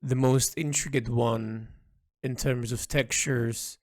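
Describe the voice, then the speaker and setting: Slow-talking man recording a reflective audio log. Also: medium pitch, steady tone, no room sound, studio quality. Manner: hesitant, halting, thoughtful phrasing. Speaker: artist narrating a design log.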